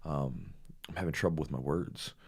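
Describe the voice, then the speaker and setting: A slightly hoarse man hosting a podcast, chatting casually and rambling with lots of fillers. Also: very deep voice, normal speed. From Louisville, Kentucky. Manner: rambling, animated storytelling with many fillers. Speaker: podcast host.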